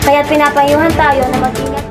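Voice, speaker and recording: High-voiced Filipino newswoman played by a woman. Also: flat delivery, brisk, lightly acted. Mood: urgent, formal. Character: female newscaster reading grim crime flashes. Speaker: newsreader in a radio drama. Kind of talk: scripted news bulletin reading. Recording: music bed behind the voice.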